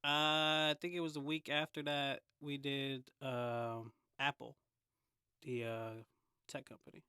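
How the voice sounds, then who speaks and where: A man's voice: medium pitch, flat delivery, measured pace, sharp tone, smooth, dry recording, studio quality. A man chatting casually as a podcast guest, using lots of slang and swearing freely. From San Francisco Bay Area, United States. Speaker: guest, hip-hop artist. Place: close-miked podcast recording.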